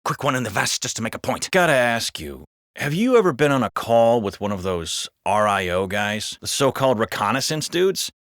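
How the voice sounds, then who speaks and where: An actor playing a jaded, foul-mouthed, sardonic police officer in a video game. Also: male voice, mid-range voice, very animated, moderate pace, fairly bright tone, no room sound, clean studio sound. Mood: wry, gossipy. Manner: gossipy, sardonic, swearing. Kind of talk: scripted video game voice acting.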